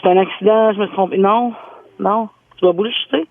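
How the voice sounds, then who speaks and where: A woman's voice: mid-range voice, fairly even intonation, brisk, gravelly, no room sound. Québécois woman on the phone, accusing her partner, tinny line. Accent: Québécois French. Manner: indignant, accusing. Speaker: caller's girlfriend.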